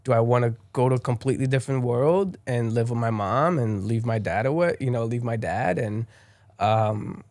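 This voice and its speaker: A man's voice: mid-range voice, moderate pace, a little mellow, no echo. Rambling young Brazilian man, dry sound. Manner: rambling, many fillers and restarts. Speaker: guest. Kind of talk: casual interview chat.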